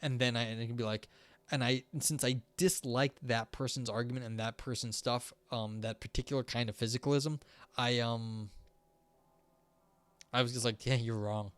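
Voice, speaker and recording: A young man chatting casually on a live stream, rambling with many fillers and restarts, his voice starting to go hoarse. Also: medium pitch, steady tone, moderate pace, no echo. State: voice going hoarse.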